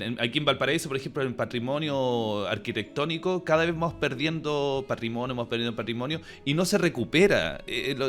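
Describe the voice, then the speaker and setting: Chilean man, interview host, medium-pitched, agreeable, conversational, light music behind. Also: a bit bright.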